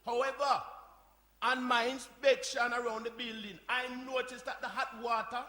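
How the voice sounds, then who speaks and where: An actor plays an earnest, harried caretaker in a radio drama. His high, bright voice carries through a very echoey hall. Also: little pitch movement, normal speed. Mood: earnest, apologetic. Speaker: actor in a community radio play. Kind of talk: radio drama performed before an audience. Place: hall, recorded live. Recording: echoey hall with background noise.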